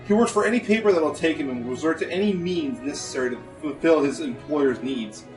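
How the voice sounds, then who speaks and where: A man's voice: a little high, fairly quick, darkish tone, soft background music, close to an everyday voice. Man playing a knowing pony, echoey and distant. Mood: matter-of-fact. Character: knowing male pony explaining a shady rival. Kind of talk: radio play acting. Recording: echoey room, distant mic.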